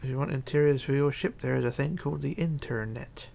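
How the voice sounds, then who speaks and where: Streamer guy, gravelly voice, rambly chat-reading, light hiss behind. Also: mid-range voice, fairly even intonation, a little mellow. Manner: rambling, chatty, halting with fillers and restarts. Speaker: Minecraft livestreamer. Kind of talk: casual livestream, reading chat aloud.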